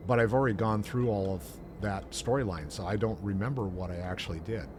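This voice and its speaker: A man's voice: on the low side, brisk, background noise. A man co-hosting a gaming show, chatting casually as he plays an online game. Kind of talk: casual chat while playing an online game.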